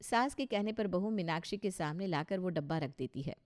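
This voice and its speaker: A woman's voice: on the low side, animated, a little fast, a little mellow. A woman reading scripted narration for a story as its narrator.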